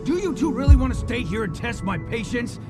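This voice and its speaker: A man playing an excitable, stammering guard in a video game, with theatrical delivery. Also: extremely high pitch, a little fast. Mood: irritated, scolding. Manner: gushing, stammering, breaking into giggles. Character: overexcited, giddy Bloodhound guard drunk on delight. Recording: music behind the voice. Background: game music.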